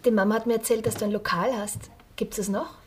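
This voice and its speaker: An actress playing a curious, casual young Austrian niece in naturalistic radio drama dialogue. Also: female voice, mid-range voice, steady tone, fast, slight background noise, close to an everyday voice.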